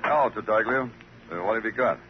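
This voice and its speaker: Deep-voiced actor as a hard-boiled police detective. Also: male voice, fairly quick, lightly acted. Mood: terse, dry. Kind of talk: 1950s radio crime drama acting and narration. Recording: dull vintage radio recording.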